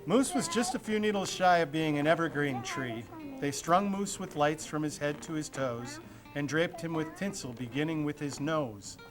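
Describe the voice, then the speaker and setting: A man's voice: fairly high pitch, lively intonation, moderate pace, rough recording. A man reading a rhyming children's story aloud, with music playing behind him. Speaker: storybook reader.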